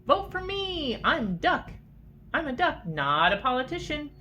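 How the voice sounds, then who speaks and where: A woman's voice: sits high, very animated, unhurried, pushed and bright, a little hiss or hum, voice pushed up, full character voice. A woman voicing a disgruntled, ambitious male farm duck from a children's storybook, in a plaintive, declaring manner. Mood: insistent.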